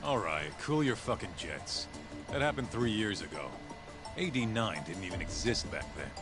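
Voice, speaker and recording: Casting: male actor as a gruff, dismissive game-drama hothead, highly animated intonation, music underneath. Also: moderate pace. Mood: irritated. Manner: gruff, blunt, swearing, dismissive. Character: hot-tempered, foul-mouthed skeptical tough guy. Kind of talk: video game dialogue acting.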